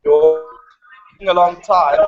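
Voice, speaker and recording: A South African man hosts a live classroom link in a very high voice with lots of melodic swing. He is informal and directive, steering the group along. The sound is slightly dull, with music clearly in it. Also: huge pitch swings, unhurried. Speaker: host, educator. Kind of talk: hosting a live classroom video call. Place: room with students, on a video call. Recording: muffled lo-fi video call with background music.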